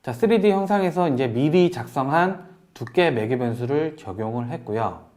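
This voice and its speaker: A man presenting a software tutorial in a polite, explanatory way, walking through each step as an instructor. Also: mid-range voice, lively intonation, normal speed. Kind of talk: software tutorial narration over screen demo.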